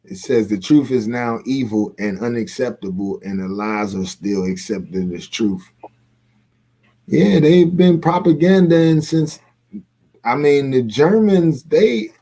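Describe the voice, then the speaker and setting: A man's voice: medium pitch, lively intonation, a little slow, mellow. A man in a casual livestream discussion, talking in an opinionated, colloquial and emphatic way, repeating phrases to press his points. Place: remote video call.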